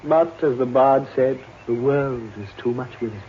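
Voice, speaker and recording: An actor playing a courtly, affectionate but deceitful husband in a 1950s radio drama, full of theatrical endearments. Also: male voice, mid-range voice, unhurried, natural voice. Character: smooth-talking, affectionate, deceitful bigamist husband. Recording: muffled, noisy 1950s radio recording.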